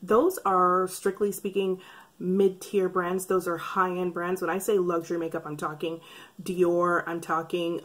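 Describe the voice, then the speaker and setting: A woman in her thirties, a beauty vlogger, talking casually to camera in a chatty, wry way with a slightly raspy voice from breathing trouble. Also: on the low side. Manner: chatty, wry, rambling asides. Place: bright room by a curtained window. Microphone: camera framed head and shoulders.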